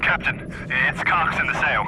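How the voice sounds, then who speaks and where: A man's voice: mid-range voice, a little slow, heavy background noise, close to an everyday voice. A man playing an anxious submarine lookout reporting in an audio drama. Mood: alarmed.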